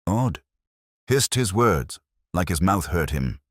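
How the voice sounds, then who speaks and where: A man plays a humble male porter in an audio mystery. He speaks in short, hesitant, deferential bursts, low in pitch and very mobile in tone. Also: sing-song, takes it slowly, no echo, studio quality, exaggerated voice. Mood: timid, deferential. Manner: hesitant, deferential, clipped fragments. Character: timid, deferential male hotel porter, working class.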